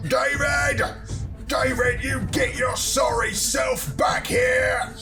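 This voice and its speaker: An enraged, abusive drunk of a father, bellows in a fantasy audio story. The voice is pushed high into a menacing shout, with music behind it. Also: fairly even intonation, slow, creaky, exaggerated voice. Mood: furious.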